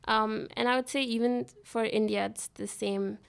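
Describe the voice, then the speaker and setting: A woman in her twenties who grew up in Dubai, a guest in a studio interview, speaking in a relaxed, thoughtful way. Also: on the high side, moderate pace, gravelly, smooth. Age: 20s. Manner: relaxed, thoughtful, laughs easily between thoughts. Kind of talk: conversational interview. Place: radio studio.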